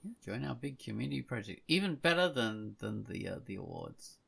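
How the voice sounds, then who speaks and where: Lively man with a creaky voice. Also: medium pitch, fairly quick. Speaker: podcast host. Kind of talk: casual chat.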